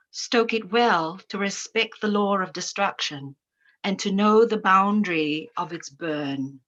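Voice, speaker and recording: Slow, measured woman from Fiji. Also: medium pitch, pushed and bright, highs cut off. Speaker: poet reading her work. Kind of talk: slow, deliberate poetry reading.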